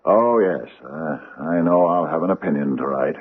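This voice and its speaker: Pompous old judge, low n gravelly-husky, old muffled radio show sound. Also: male voice, moderate pace. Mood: grumbling, dry. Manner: hesitant, formal, ponderous phrasing. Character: aging pompous judge, ponderous, secretive and grumbling. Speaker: actor in radio drama. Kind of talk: old-time radio drama acting. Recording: muffled, band-limited vintage radio recording.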